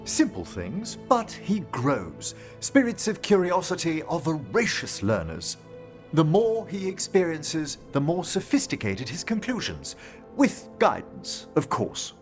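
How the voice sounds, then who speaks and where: An actor playing a gentle, earnest scholar of necromancy in a video game, speaking formally and precisely. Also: male voice, fairly high pitch, huge pitch swings, unhurried, soft background music. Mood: fond, musing. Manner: formal, precise, eloquent speech. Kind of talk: video game character acting.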